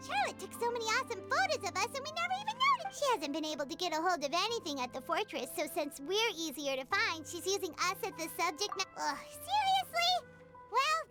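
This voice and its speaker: A perky little fairy companion from a video game. The voice is extremely high, childlike and squeaky, swinging widely in pitch with cutesy exclamations. Music plays clearly behind it. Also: female voice, sing-song, fast. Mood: excited, huffy. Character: tiny childlike female fairy companion, perky and cheeky, speaks in third person. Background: loud game music.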